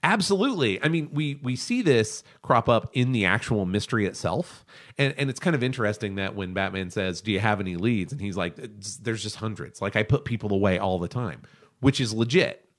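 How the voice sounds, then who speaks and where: A man's voice: lowish voice, animated, fairly quick, fairly bright tone. A man hosting an analytical discussion podcast, speaking in an emphatic, opinionated way. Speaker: podcast host discussing animation.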